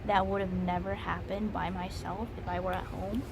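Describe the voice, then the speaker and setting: A woman's voice: on the low side, flat delivery, normal speed. Young American woman, casual rambling vlog, noisy recording. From United States. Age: 20s. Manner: informal, rambling, self-aware. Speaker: study abroad student vlogger. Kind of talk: casual reflective chat to camera. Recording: clearly noisy recording with ambient background.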